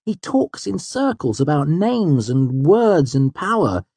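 A man's voice: medium pitch, big pitch swings, normal speed, mellow, breathy edge, dry recording, pitched above the performer's own voice. A man voicing a clever young male student in an audiobook, wry, emphatic and earnest. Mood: exasperated. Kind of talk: audiobook character dialogue.

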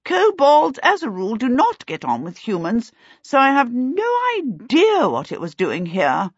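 A woman's voice: a little high, wildly swooping pitch, a little slow, slightly rough, dry recording, dull audio, voice pushed up, heavily acted. A woman voicing a stately, imperious aunt in a storybook, haughty, commanding and formal. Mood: brisk, dismissive.

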